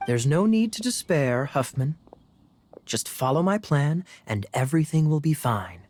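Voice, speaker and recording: A sly, smooth knight captain. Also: male voice, on the high side, very animated, measured pace, darkish tone. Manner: smooth and teasing. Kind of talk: video game voice acting. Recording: game audio with music behind.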